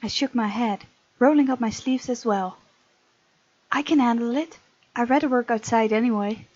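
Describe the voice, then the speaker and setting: A woman's voice: fairly high pitch, moderate pace, darkish tone, no echo, lo-fi sound. A woman hosting a gaming let's play, reading aloud and adding chatty, casual asides. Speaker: gaming video host.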